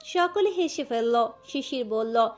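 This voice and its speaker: A woman's voice: fairly high pitch, animated, takes it slowly, darkish tone. A woman reading a scripted audio story as its narrator, with music behind her. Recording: music under the voice. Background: background music.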